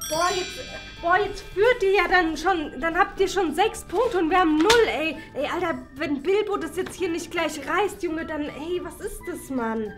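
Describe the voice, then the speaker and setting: High-pitched slangy teenage camp girl played by a woman. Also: roomy sound. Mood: frustrated. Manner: slangy, halting. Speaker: camp contestant in a scripted web challenge show. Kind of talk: casual youth-slang game show acting. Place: small decorated studio room indoors. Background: music.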